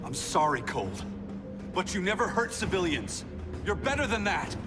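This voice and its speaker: A man's voice: high voice, big pitch swings, moderate pace, over loud music. An actor playing a young, earnest male speedster hero who pleads with a former friend. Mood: pleading. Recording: loud game score behind.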